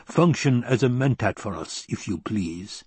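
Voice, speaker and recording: A man's voice: on the low side, lively intonation, measured pace, a little mellow, slightly rough, dry recording, heavily acted. A man voicing a domineering, menacing older villain baron in an audiobook, drawling and growling his commands. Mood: smug, commanding. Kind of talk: audiobook character acting.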